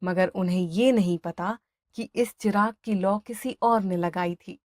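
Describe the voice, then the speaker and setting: A woman's voice: mid-range voice, a little slow, darkish tone, dry recording, clean studio sound. A woman telling a scripted dramatic story as its narrator.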